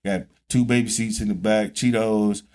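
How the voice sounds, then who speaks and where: A man co-hosting a podcast, trading animated, joking banter and hyping up his friend. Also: fairly low pitch, normal speed. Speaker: podcast co-host. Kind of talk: casual chat.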